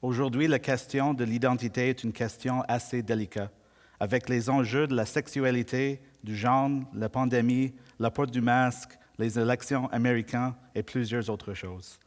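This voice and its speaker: A Canadian man whose first language is English, preaching a sermon in measured, deliberate speech and slowing down to read scripture. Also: mid-range voice, steady tone, in a large hall.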